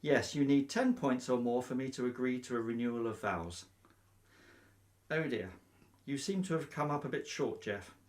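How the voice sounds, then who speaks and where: A male actor playing a breezy, nosy, officious vicar in a radio comedy, briskly polite and openly biased. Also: mid-range voice, lightly acted. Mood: smoothly patronising. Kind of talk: radio play comedy acting.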